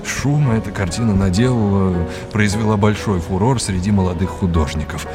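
A man's voice: fairly low pitch, normal speed, over music, natural voice. An actor playing a courteous, erudite male art historian in an audio drama, explaining and quizzing like a teacher. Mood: engaged, explanatory.

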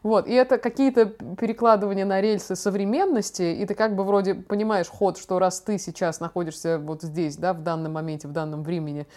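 A young woman talks quickly on a casual podcast. She is chatty and slangy, spinning out stories in an animated, informal way. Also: on the low side. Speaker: podcast co-host, daughter talking with her father.

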